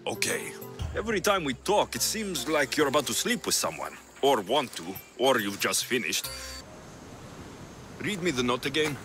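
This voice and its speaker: An actor playing a cocky young Greek mercenary hero in a video game, with a dry, deadpan manner. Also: male voice, mid-range voice, moderate pace, music in the background, natural voice. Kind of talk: video game cutscene acting.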